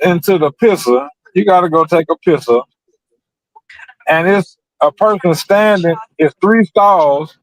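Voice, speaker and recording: A male panelist with a high-pitched voice and a dry sound. He chats informally in a blunt, slangy way, swearing here and there. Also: dry recording, poor audio. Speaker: live stream panelist. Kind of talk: casual slangy chat.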